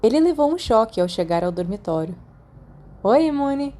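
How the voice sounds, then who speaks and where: Mellow Brazilian woman narrating a story. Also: on the low side, sing-song, fast talker, a little hiss or hum. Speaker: audiobook narrator. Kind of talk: scripted fan fiction narration with acted dialogue.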